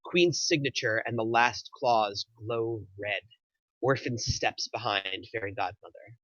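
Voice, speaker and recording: A man's voice: on the low side, unhurried, a bit bright, dry recording. A man reading scripted stage directions as narrator of a screenplay, in lo-fi sound.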